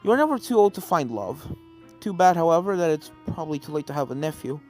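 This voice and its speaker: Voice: mid-range voice, very animated, quick pace, a little mellow, natural voice. A reader voicing a cheerful, chatty younger-brother unicorn stallion who teases, in a fantasy story over game music. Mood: friendly, teasing. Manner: chatty, teasing.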